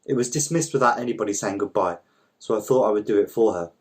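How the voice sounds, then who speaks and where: A man with a fairly low voice narrates a short story. His delivery is measured and literary, like an amateur reading aloud from the page with the odd small stumble. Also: darkish tone, husky. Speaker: volunteer story reader.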